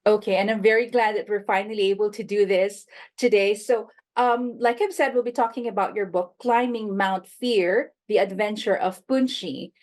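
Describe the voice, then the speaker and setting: A woman's voice: medium pitch, fairly even intonation, moderate pace, hardly any pauses, darkish tone. A woman hosting a book interview show, introducing her guest and asking casual, friendly questions with a few "um" and "like" fillers. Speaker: host.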